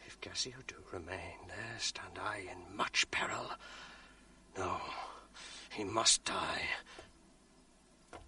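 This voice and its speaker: An actor playing a treacherous male villain in a radio drama, speaking in a low conspiratorial murmur that often drops to a whisper. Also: fairly even intonation, slow, pauses often, pushed and bright, distant mic, slight background noise, exaggerated voice. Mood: plotting. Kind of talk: 1980s radio drama acting.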